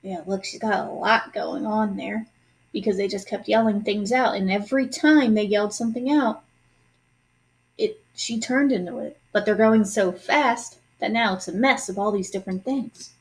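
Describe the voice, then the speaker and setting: A woman reading a children's story aloud expressively, pausing in a teacherly way to ask questions and explain to young listeners. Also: mid-range voice, low-quality recording. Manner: instructive, pauses to ask and explain.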